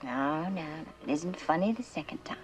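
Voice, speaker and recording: Actress as enigmatic woman student, lowish voice, wry, playful teasing, vintage suspense drama, music behind. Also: moderate pace, close to an everyday voice. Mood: amused.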